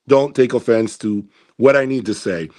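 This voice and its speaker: Man, medium pitch, casual review commentary, opinionated, emphatic, deliberate, repeats key points. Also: darkish tone. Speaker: audio gear reviewer and host.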